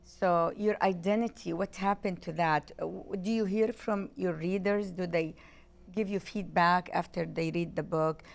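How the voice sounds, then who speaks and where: Woman host asking questions, kinda low and creaky voice. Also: fairly even intonation, normal speed. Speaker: interviewer, host. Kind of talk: casual interview.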